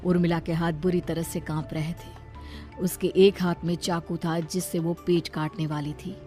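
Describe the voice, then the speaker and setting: A woman's voice: fairly low pitch, little pitch movement, moderate pace, dark tone, soft background music. A woman narrator reading a scripted horror story.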